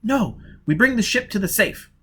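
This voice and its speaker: A man's voice: high voice, normal speed, husky, voice pushed up, heavily acted. A man voicing cool, clipped woman spacer. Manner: clipped, steady under pressure.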